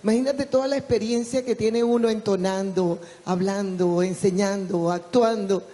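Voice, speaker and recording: Older lady journalist, excited, mellow voice, hall echo. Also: mid-range voice, moderate pace. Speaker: journalist and actress. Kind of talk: comment from the floor. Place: large event hall. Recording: long hall reverb.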